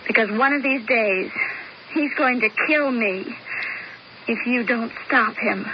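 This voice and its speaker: A woman's voice: on the high side, unhurried, close to an everyday voice. An actress playing an anxious, dramatic young woman who pleads melodramatically, in a 1970s radio drama. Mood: pleading. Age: young adult. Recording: dull old radio broadcast with hiss.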